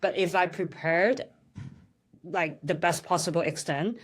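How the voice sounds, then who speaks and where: Young woman, raised in Hong Kong, lowish voice, thoughtful conversational guest. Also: unhurried. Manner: thoughtful, frequent fillers and restarts. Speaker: interview guest. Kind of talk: casual conversational interview.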